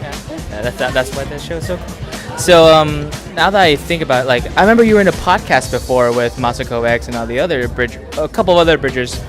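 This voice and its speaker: A man's voice: mid-range voice, fast, faint music behind. A man interviewing someone in a loose, chatty, rambling way. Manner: loose, rambling questions with many "like"s. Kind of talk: casual chat.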